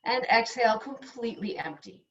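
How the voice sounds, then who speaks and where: A woman yoga instructor leading slow guided breathing and meditation in soothing, unhurried short phrases with long pauses. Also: fairly high pitch, animated, a bit bright. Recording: dull, echoey room, distant mic.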